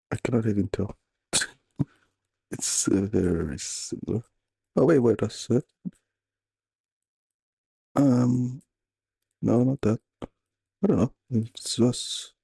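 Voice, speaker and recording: A man's voice: mid-range voice, creaky, mellow, husky, dry recording. A man reacting on stream, hesitantly thinking aloud.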